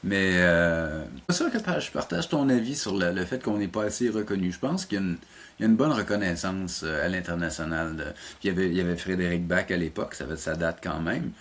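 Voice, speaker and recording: A man's voice: deep voice, expressive, a little fast. A man with a Québécois French accent giving casual interview answers as a teacher, searching for words and often repeating them. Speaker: animation teacher being interviewed.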